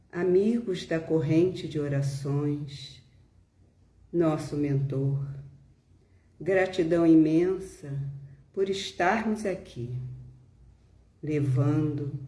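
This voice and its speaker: A woman with a Brazilian Portuguese accent leading a devotional reflection with prayer and reading, solemn and deliberate, pausing between short phrases. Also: lowish voice, dark tone. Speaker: volunteer leader of a spiritist prayer live stream. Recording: roomy, echoing home live stream.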